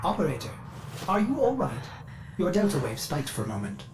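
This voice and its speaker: An actor playing an over-eager, fussy artificial intelligence that serves aboard a spaceship in a video game. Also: male voice, a little high, wildly swooping pitch, fairly quick, heavy background noise. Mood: solicitous. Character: chirpy, bug-addled male ship AI, eager servant with dark glitches. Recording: music behind the voice.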